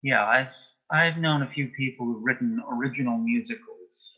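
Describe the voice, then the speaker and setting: A man answering as an interview guest in a matter-of-fact, measured way, telling small anecdotes in conversation. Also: medium pitch, husky. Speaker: interview guest, author and playwright. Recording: dull, band-limited sound.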